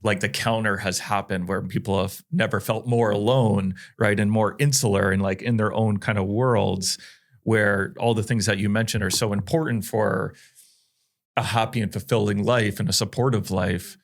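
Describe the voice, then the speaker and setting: Deep-voiced male host, rambling. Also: fairly even intonation, normal speed. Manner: rambling, filler-heavy questions. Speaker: podcast host. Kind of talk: conversational interview.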